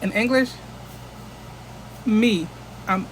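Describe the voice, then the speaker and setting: A woman's voice: medium pitch, drawn-out words, slight background noise, low-quality recording. A woman from the United States chatting casually into her phone camera as a vlogger, rambling and restarting often.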